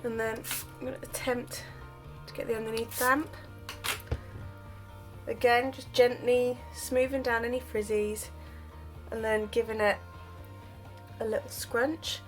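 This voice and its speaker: A woman's voice: on the high side, level tone, measured pace, long pauses, faint music behind. A woman chatting casually while she demonstrates styling her wavy hair, her voice just recovered from illness. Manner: chatty, self-deprecating, explains while doing. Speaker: hair vlogger. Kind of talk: casual hair tutorial chat.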